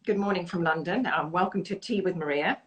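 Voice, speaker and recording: A woman's voice: fairly low pitch, brisk. A woman hosting a video call interview from her home in London, chatty and full of effusive praise. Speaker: interview host.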